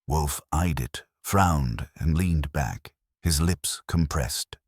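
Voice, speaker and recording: A man's voice: very deep voice, takes it slowly, no room sound, studio quality. A man narrating a first-person detective story in a wry, hard-boiled manner. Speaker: audiobook narrator.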